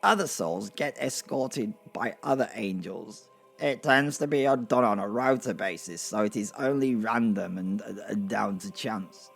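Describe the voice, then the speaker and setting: A man's voice: on the low side, expressive, measured pace, creaky, full character voice. A man playing a nervous, self-important gate attendant of heaven in a comic fantasy audio drama, with a hesitant, stammering delivery. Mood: confiding, prim. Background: music.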